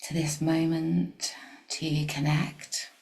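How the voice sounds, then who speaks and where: Slow, creaky-voiced woman guiding meditation. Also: low voice, flat delivery. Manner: soothing, unhurried, gentle, with long pauses. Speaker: meditation guide. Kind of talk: guided meditation.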